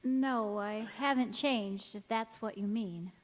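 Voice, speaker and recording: A woman's voice: medium pitch, slow. An actress playing a wistful, ageless woman returning in secret, in an earnest old-time radio drama style. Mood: wistful, anxious. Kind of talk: 1940s radio drama acting on stage. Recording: echoey hall with faint noise.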